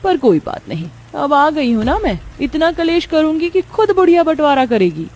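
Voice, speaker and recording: A woman's voice: high-pitched, sing-song, normal speed, darkish tone, pitched above the performer's own voice. A woman voicing a lazy, greedy young daughter-in-law, self-serving and complaining, in a family story. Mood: scheming.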